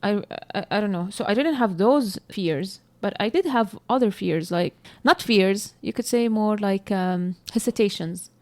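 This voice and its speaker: Palestinian woman, candid and unhurried. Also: medium pitch. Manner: candid, searching for words, frequent fillers. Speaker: co-host. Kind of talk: casual podcast conversation.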